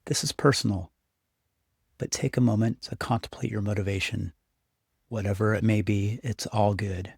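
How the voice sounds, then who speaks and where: Low-voiced man guiding a meditation. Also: fairly even intonation, vocal fry, darkish tone, slightly rough, no echo, studio quality. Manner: unhurried, instructive, encouraging second-person address. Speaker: meditation guide. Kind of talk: guided meditation, scripted narration.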